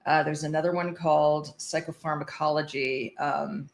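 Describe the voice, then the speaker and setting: A woman's voice: sits low, fairly even intonation, moderate pace, gravelly, echoey room. A woman chatting casually as a podcast guest. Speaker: podcast guest, psychiatric practitioner. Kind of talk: casual conversational interview.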